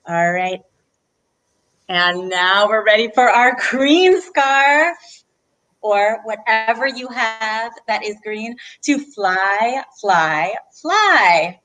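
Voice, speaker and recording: Female children's co-host, high voice, unhurried pace, playful sing-song delivery for little ones. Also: fairly bright tone. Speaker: children's librarian co-hosting story time. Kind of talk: leading a children's movement rhyme.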